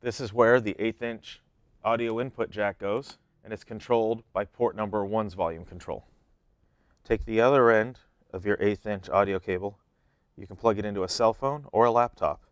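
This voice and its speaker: A man's voice: on the low side, fairly even intonation, moderate pace, clean tone, no echo, dull audio. A man in his thirties giving plain, step-by-step how-to instructions as an equipment demonstrator.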